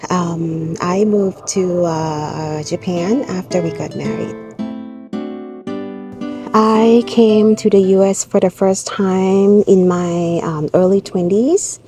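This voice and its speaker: Slow, mellow-voiced Thai-accented woman. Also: lowish voice. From Thailand, Bangkok. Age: 40s. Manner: reflective, candid, personal. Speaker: vlogger. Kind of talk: personal reflective talk to camera.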